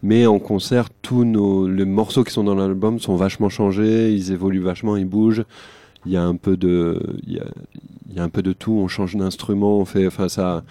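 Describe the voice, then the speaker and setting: A man's voice: low voice, flat delivery, normal speed, warm tone, no echo. A male band musician chatting informally in an interview, restarting and repeating phrases as he goes. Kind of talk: conversational radio interview.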